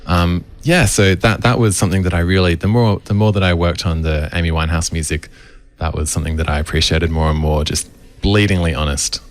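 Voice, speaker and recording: A man's voice: sits low, fairly even intonation, fairly quick. A man, an arranger explaining his approach to songs in an earnest, thoughtful interview. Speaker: musical arranger.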